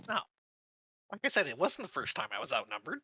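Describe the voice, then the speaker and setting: A man's voice: on the high side, huge pitch swings, quick pace, pushed and bright, slight background noise. A man playing a tabletop roleplaying game, speaking casually in character over slightly dull audio.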